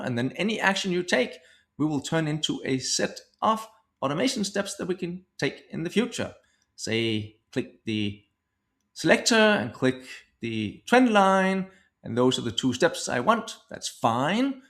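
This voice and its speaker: A man giving a conversational software product demo, explaining informally step by step. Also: mid-range voice.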